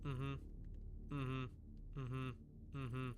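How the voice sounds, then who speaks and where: A man's voice: mid-range voice, monotone, very slow, background noise. A man giving casual, wry live commentary as he plays a video game, often muttering to himself. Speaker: game streamer.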